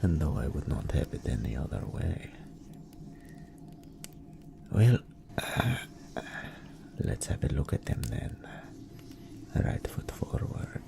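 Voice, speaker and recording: A male voice actor playing a seductive, smug male greater incubus in an audio roleplay drama, with breathy whispers and a slow, purring, condescending manner. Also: extremely low pitch, big pitch swings, heavily acted. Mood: seductive, teasing.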